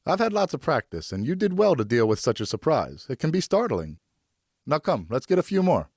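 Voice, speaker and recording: A man's voice: fairly high pitch, sing-song, a little fast, darkish tone, husky, no room sound, clean studio sound, voice pushed up, heavily acted. A man voicing a genial French father and former officer, encouraging and instructive, in an audiobook. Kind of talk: audiobook character dialogue.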